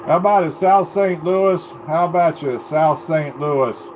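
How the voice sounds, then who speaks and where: A man's voice: a little high, a little slow. An American man in a casual, rambling live chat as a radio hobbyist, laid-back in manner. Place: home CB radio room. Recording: very loud steady background noise.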